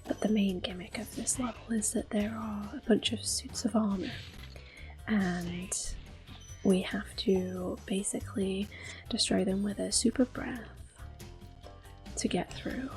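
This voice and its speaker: A woman speaking in a soft, breathy near-whisper, giving gentle, unhurried ASMR commentary on a video game. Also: medium pitch, fairly even intonation, soft background music. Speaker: gameplay video host.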